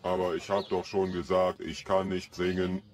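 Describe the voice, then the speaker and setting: A man's voice: low voice, flat delivery, moderate pace, darkish tone, pitched below the performer's own voice, natural voice. A man voicing a big, naive, reluctant golem in a ponderous, blunt way.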